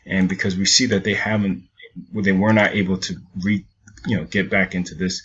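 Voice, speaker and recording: A man's voice: low-pitched, level tone, fairly quick, muffled recording, a little hiss or hum. A man from Jamaica giving a casual stock market recap over charts, rambling and repeating himself.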